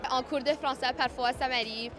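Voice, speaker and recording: A woman's voice: sits high, fairly even intonation, moderate pace, crowd noise. A young woman student speaking casually, slipping English words into her French. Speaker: student interviewee. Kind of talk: casual testimony. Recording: music in background.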